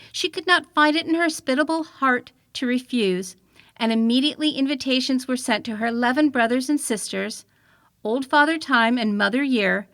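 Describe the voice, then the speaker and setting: A woman reads a children's story aloud in a high voice. Her delivery is expressive and clearly scripted narration. The sound is dry, with very little room on it. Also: dry recording. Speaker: story reader.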